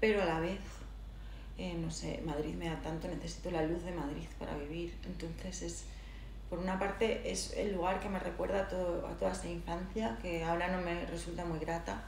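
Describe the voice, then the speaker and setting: Middle-aged woman from Galicia, lowish voice, reflective interview guest who pauses to find words; roomy sound with some noise. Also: fairly even intonation, gravelly, a little mellow. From Galicia, Spain. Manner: thoughtful, hesitant, searching for words. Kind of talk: reflective personal conversation.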